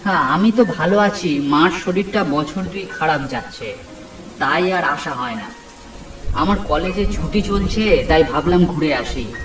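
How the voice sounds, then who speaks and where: A man's voice: on the high side, animated, measured pace. A man voicing a young college-student nephew in a horror cartoon, worried, puzzled and full of questions. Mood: concerned. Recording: echoey, distant voice over music.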